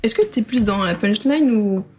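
A woman's voice: medium pitch, lively intonation, moderate pace, a little mellow, soft background music. A woman interviewing a rapper, asking friendly questions and summing up his answers. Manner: friendly, prompting, sums up answers.

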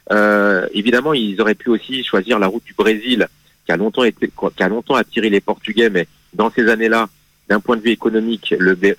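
A man guest on a radio interview, explaining history in a measured way with frequent hesitations and restarts. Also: medium pitch, fairly bright tone, dry recording, poor audio. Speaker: magazine guest speaking on history.

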